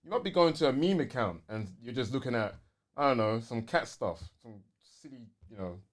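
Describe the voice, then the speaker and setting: A man with a London accent co-hosting a gaming podcast in casual, slangy, animated chat. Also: mid-range voice, a little fast. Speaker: podcast co-host.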